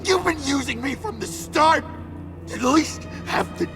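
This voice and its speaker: An actor playing an unstable, nervous young man in a video game, stammering and halting, paranoid and quick to swing into outbursts. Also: extremely high pitch, animated, over music. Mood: bitter, accusing. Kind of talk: dramatic video game acting.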